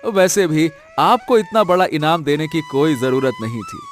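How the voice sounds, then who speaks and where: A man's voice: a little high, lively intonation, moderate pace, faint music behind, lightly acted. A man playing a humble, honest poor village husband in a Hindi cartoon story, speaking earnestly with hesitant interjections. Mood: respectful, welcoming.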